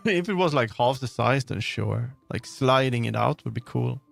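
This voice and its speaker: A man livestreaming, chatting casually with viewers in a rambling, halting way as he muses aloud. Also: mid-range voice, lively intonation, creaky. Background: background music.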